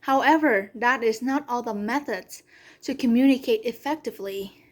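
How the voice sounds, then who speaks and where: A woman's voice: fairly high pitch, moderate pace. A young woman whose first language is Vietnamese gives a careful, earnest class presentation, sometimes restarting a phrase. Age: young adult. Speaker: community college student. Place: home room, online class video.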